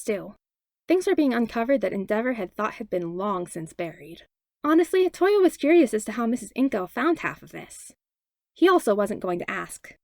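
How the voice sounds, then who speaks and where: A woman reading a story aloud as an expressive audiobook narrator. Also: mid-range voice, creaky, no room sound, clean studio sound. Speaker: podfic narrator.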